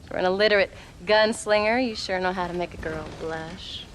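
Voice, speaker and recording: An actress playing a sharp-tongued, proud frontier wife and mother with an American frontier drawl, in a video game. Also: mid-range voice, moderate pace, a little hiss or hum, lightly acted. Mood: tender, wistful. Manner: sassy, teasing. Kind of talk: video game dramatic dialogue.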